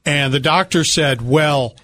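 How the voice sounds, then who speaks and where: A man's voice: a little high, measured pace, fairly bright tone. A man co-hosting a radio show, telling stories conversationally with a jokey, dryly teasing manner. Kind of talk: conversational radio talk with storytelling. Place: radio studio.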